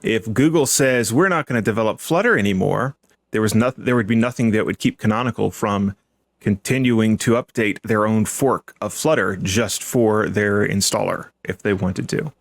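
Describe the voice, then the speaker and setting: Lowish-voiced man in analytical discussion. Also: normal speed, vocal fry, dry recording. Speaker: podcast panelist.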